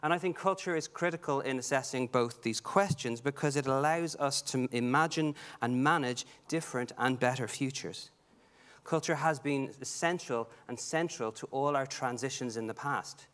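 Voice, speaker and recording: A man's voice: mid-range voice, normal speed, a bit bright. A man with a Dublin Irish accent, giving an earnest prepared talk at a conference, with frequent ums and restarts. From Ireland. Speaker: conference speaker. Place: large conference hall.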